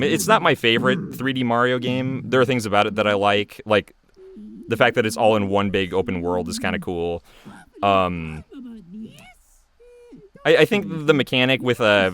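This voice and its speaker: A man with a fairly low voice gives an off-the-cuff creator commentary. He rambles hesitantly and plays down his own work. Other voices from the playing episode are clearly heard behind him. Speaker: creator and voice actor giving commentary. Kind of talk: casual, unscripted commentary on own animation.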